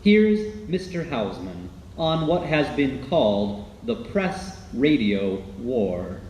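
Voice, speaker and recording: Male documentary narrator, announcer style, widely swinging pitch, echoey sound. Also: very animated, slow, darkish tone. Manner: dramatic, announcer-like. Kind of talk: scripted documentary narration for radio. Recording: echoey, faint background noise.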